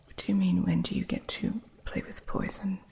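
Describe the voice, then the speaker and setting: Soft-spoken woman, low whispered delivery with a gravelly grain, unhurried, gently instructive with a playful strictness. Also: level tone. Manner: gentle, teaching, teasingly strict with an apprentice. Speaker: ASMR artist. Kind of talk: whispered ASMR roleplay as a witch shopkeeper.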